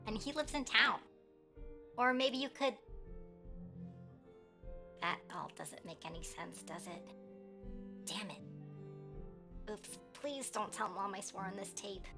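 A woman's voice: mid-range voice, gravelly. An actress playing a lonely kid sister who pleads and rambles earnestly as she tapes a message in a radio play. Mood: pleading, then subdued, tender.

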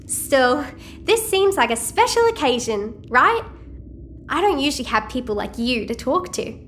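A woman's voice: high voice, big pitch swings, moderate pace, fairly bright tone, slight background noise. An actress playing a chatty, cheeky, nosy teenage girl in an audio sci-fi drama. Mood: friendly, teasing. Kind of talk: audio-fiction drama dialogue.

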